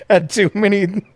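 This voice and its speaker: A man co-hosting a podcast, chatting casually about films with animated, joking reactions and free swearing. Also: high voice, no room sound. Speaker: podcast co-host. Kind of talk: casual film review chat.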